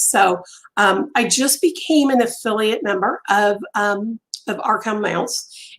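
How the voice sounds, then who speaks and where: A woman hosting a casual crochet live stream from home, chatty with many ums and pauses, her voice going hoarse and interrupted by throat clearing. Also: medium pitch, poor audio. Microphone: phone on a mount.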